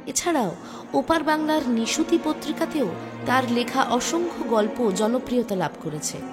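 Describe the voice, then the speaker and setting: Lady doing a scripted story channel intro, kinda high voice, music playing clearly behind her. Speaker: presenter of an audio story channel.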